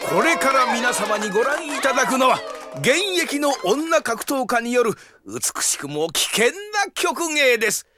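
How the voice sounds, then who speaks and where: A man's voice: very high-pitched, very animated, a little slow, music in the background, exaggerated voice. An actor playing a cranky, bossy old town mayor in an audio drama, with blustery old-man speech. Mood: showy. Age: older. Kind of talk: Japanese audio drama acting.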